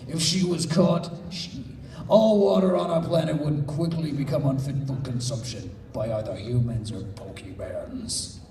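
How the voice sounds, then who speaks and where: A man performs a comedic live read-aloud of fan fiction as a panelist. His mid-pitched voice comes from some distance off the mic in an echoey room. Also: a little slow, dark tone. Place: convention panel room, indoors.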